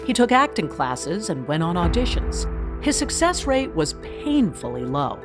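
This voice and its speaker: Documentary narrator woman acting, lively intonation, scripted storytelling over clear music. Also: on the low side. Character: documentary narrator telling a mock biography.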